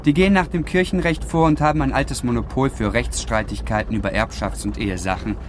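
An actor playing a self-assured young gentleman with an urbane, lightly mocking manner in a radio drama. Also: mid-range voice, brisk, background noise, close to an everyday voice. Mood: nonchalant. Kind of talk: German radio drama dialogue.

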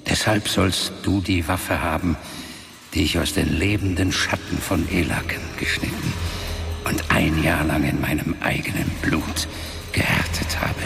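An actor in a German fantasy radio drama playing a stern, long-dead warrior father who gives measured commands from a magic book. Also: low-pitched, creaky. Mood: stern, wry. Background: music.

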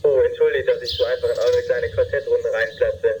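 A man's voice: medium pitch, fairly even intonation, a little fast, muffled recording, natural voice. A man playing a long-winded, over-familiar lawyer with a stilted, pompous way of talking in an amateur parody radio play. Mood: chummy. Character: long-winded, chummy adult male lawyer phoning detectives. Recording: noisy amateur recording.

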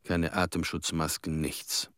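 An actor playing a plain-spoken chief hunter in a radio play, recalling grim events in a blunt, matter-of-fact way. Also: male voice, extremely low pitch, level tone, a little slow, a bit bright, dry recording, clean studio sound.

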